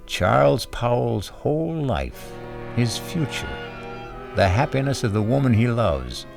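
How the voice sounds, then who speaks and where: A man's voice: mid-range voice, big pitch swings, unhurried, vocal fry, music in the background. A man hosting a radio mystery series, delivering dramatic scripted narration.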